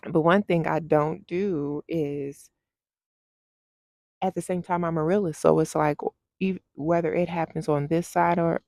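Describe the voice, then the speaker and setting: A woman's voice: low-pitched, creaky, a little mellow, dry recording, studio quality. A woman vlogger sharing a personal testimony to camera, speaking in a heartfelt, encouraging, faith-filled way.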